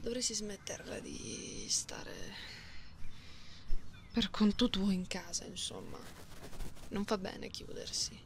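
A woman voicing an affectionate, playful catgirl in intimate ASMR roleplay, cooing and repeating words softly in a breathy, often whispered voice. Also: medium pitch, very animated, takes it slowly, long pauses, heavily acted. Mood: soft, caring. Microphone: close binaural microphone. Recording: close binaural mic with clear noise.

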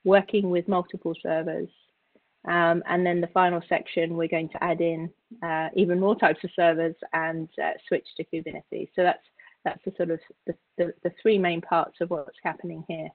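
A woman instructor giving an informal software tutorial, explaining step by step with halting, repeated words. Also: lowish voice, flat delivery, dry recording. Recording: narrow, phone-like call audio.

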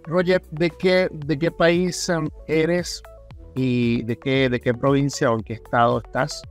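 Middle-aged podcast host over music. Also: male voice, mid-range voice, flat delivery, slow pace. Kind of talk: conversational interview hosting. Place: indoor room on a video call. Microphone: desk microphone close in front.

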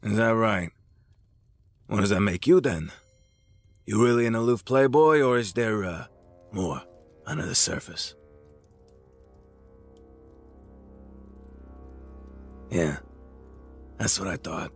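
A smug older crime boss, acted by a man, speaks in a low, drawling voice with folksy menace. He is patronizing and deliberate, in a dry audio drama recording over music. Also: animated, creaky, slightly rough, no room sound, heavily acted. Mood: sly, needling.

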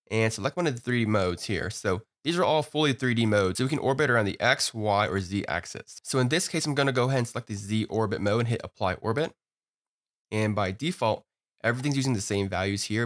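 A man walking through a software tutorial in a relaxed, upbeat way, often filling gaps with "you know" and "uh". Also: fairly low pitch. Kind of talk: screen-recorded software tutorial.

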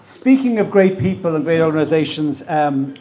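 A man's voice: fairly high pitch, lively intonation, moderate pace, background noise. A man hosting a live onstage interview, asking short prompting questions. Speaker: host. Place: small live venue room with stage. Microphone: handheld mic on stand.

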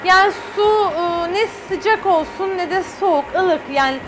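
A woman's voice: very high voice, unhurried, noisy background. A middle-aged woman, a guest chef on a studio cooking show, explaining each step as she works. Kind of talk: cooking demonstration. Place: TV studio kitchen set.

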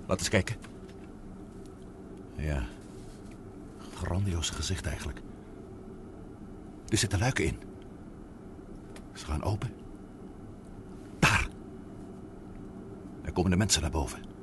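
A man's voice: deep voice, lively intonation, slow, pauses often. An actor playing an anxious, questioning man aboard a submarine in a radio drama, full of wonder and unease. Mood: awed, hushed. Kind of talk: Dutch radio drama acting. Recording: noisy old radio drama recording.

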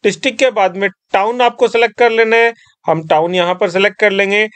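A man with a high, clear voice walks the listener through an online application one step at a time. He keeps it instructive and calls his viewers friends. The sound is dry and close, recorded at a desk mic in a quiet room. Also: steady tone, fast talker, dry recording. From Rajasthan. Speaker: tutorial presenter. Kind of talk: screen-recorded tutorial voice-over.

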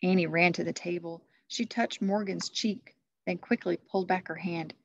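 A woman in her thirties reading a children's story aloud like a teacher, with expressive narration. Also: fairly low pitch, moderate pace, no echo. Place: classroom, indoors. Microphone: computer webcam at desk distance. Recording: muffled webcam audio.

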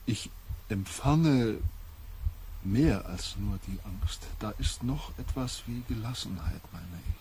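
An actor playing an empathic expedition member in a radio drama, halting and intense, overwhelmed by what he senses. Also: lowish voice, lively intonation, creaky, darkish tone, exaggerated voice, rough recording. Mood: awed, brooding. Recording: faint ambient noise.

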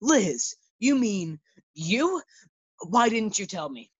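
A woman's voice: mid-range voice, sing-song, slow pace, slightly rough, dry recording, exaggerated voice. A woman acting a breezy, girl-chasing bachelor man in a 1940s-style radio sitcom. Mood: astonished. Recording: slightly dull, lo-fi sound.

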